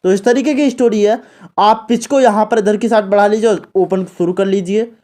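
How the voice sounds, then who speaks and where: A man's voice: sits high, fairly even intonation, normal speed, mellow. A man hosting an informal, step-by-step screen tutorial. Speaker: tutorial host.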